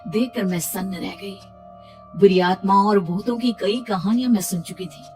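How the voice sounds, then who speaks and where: A woman's voice: medium pitch, steady tone, moderate pace, close to an everyday voice. A woman playing a frightened young pregnant woman, telling her horror story in a suspenseful, emotional, theatrical first-person style. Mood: suspenseful dread. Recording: distant-sounding voice under loud music. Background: loud background music.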